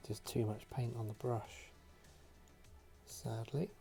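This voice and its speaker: A man hosting a hands-on tutorial, talking casually and thinking aloud in an unhurried way with pauses. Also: medium pitch, steady tone, vocal fry, dark tone, soft background music. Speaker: host of a model painting tutorial. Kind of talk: casual talk-through while painting.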